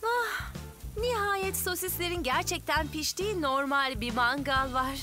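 An extremely high little-girl cartoon voice, outspoken and easily embarrassed. Her manner is pleading and exasperated. Clear background music runs under the speech. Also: measured pace. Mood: dismayed. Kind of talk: dubbed children's cartoon acting.

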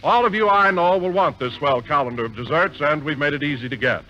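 Hearty male radio announcer, bright tone. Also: a little fast, faint music behind. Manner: hearty, smooth salesman pitch. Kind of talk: 1940s radio announcing and comedy. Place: theater stage with live audience. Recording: lo-fi 1940s radio broadcast.

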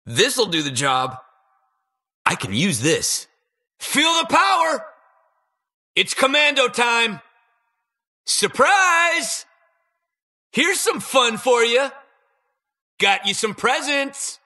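An actor playing an eager, anxious and boastful toy-inventor hero in a video game, with a husky, slightly awkward delivery. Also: male voice, very high voice, big pitch swings, slow pace, long pauses, pushed and bright, exaggerated voice. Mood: halfhearted, calm, then boastful, upbeat. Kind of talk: video game battle voice lines.

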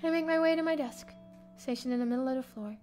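A young woman streamer reading a visual novel aloud as expressive first-person story narration. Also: high-pitched, fast. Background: game music.

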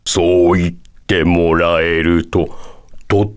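Very deep, slow old sage. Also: male voice, little pitch movement, smooth. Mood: courteous. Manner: measured, ends sentences with a quirky verbal tic. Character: wise, proud elderly bear-like sage, male, calm. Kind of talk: video game character voice acting.

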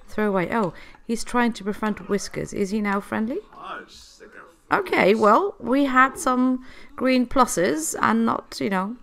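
A woman's voice: medium pitch, vocal fry. A woman with a cold, chatting through a life-sim game and talking to the characters. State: has a cold. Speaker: gameplay host. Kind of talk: casual gameplay commentary.

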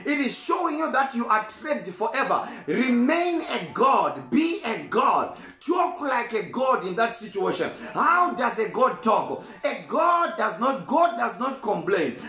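A man preaching a fervent sermon, repeating his points and shouting key declarations. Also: very high voice. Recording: echoey room.

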